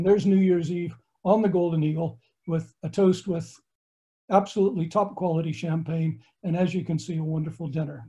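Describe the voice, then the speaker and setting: A man's voice: high-pitched, warm tone, low-quality recording. An older American man, an astronomer, giving a friendly slideshow lecture from his home study over a video call. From United States.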